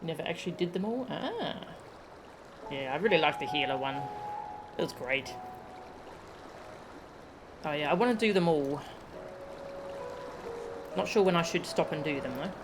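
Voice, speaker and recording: A woman's voice: low-pitched, lively intonation, moderate pace, pauses often. A woman chatting casually as she plays a game on a live stream. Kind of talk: casual live-stream chat while playing. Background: game music.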